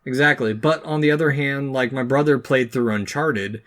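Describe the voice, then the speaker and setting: A man's voice: mid-range voice, normal speed, fairly bright tone. A man co-hosting a podcast, chatting casually and conversationally. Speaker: podcast co-host. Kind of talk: casual chat, film and game discussion.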